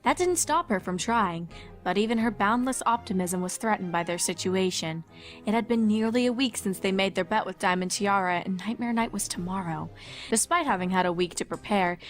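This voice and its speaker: A woman reading scripted story narration as the narrator of a fan fiction. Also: medium pitch. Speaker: fanfic narrator.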